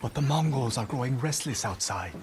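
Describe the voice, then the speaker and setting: A man as anxious townsman with swooping pitch. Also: animated, fairly quick, darkish tone, a little hiss or hum, close to an everyday voice. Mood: uneasy, deferential. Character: deferential, anxious townsman serving his lord. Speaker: video game actor. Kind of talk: dramatic game cutscene dialogue.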